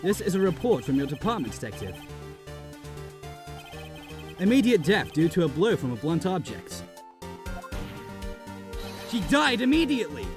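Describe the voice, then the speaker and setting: A man's voice: high-pitched, big pitch swings, normal speed, pauses often, music in the background. A man playing an emphatic young defense lawyer. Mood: pressing. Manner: emphatic, stammers when surprised.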